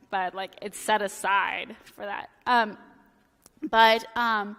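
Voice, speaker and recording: A woman in her thirties, a priest, giving a casual, joking sermon with a hoarse, fading voice, chatty and self-deprecating with many filler words. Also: fairly high pitch, a bit bright. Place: church sanctuary. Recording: long church reverb.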